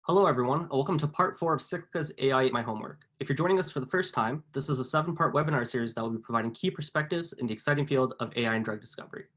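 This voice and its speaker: A man hosting a webinar and reading a prepared introduction at a brisk clip. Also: medium pitch, fairly bright tone. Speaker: webinar host.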